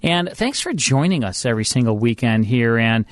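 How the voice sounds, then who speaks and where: A man's voice: medium pitch, animated, moderate pace, no room sound. Chatty male radio host, dry studio sound. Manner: friendly, chatty, restarts often. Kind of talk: casual radio chat and show introduction. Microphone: studio microphone.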